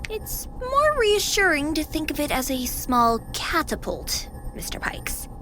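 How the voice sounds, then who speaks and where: A woman's voice: high voice, very animated, a little slow. A woman playing a perky female AI companion in a video game, coaching and dryly teasing. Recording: music behind the voice.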